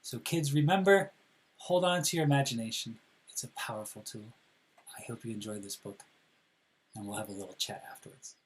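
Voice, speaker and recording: A man reading aloud to children in an expressive, deliberate way, addressing them directly like a school counselor. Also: mid-range voice, slightly rough, poor audio.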